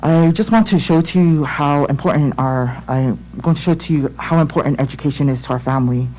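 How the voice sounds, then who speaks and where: A woman from Tonga speaking as a parent in public comment at a school board meeting, earnest and halting, with many restarts. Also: low-pitched, dark tone, slight background noise, rough recording. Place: home room on a video call. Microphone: laptop or webcam mic on video call.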